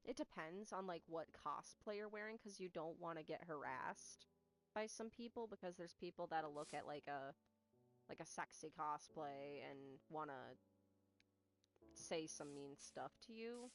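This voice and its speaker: A woman's voice: low voice, lively intonation, unhurried. A young woman who grew up speaking Spanish chats casually while playing a game. She has a stutter and rambles, switching between talking to her viewers and reacting to the game. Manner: rambling, talks to chat and to the game at once. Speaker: streamer. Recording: game music playing behind.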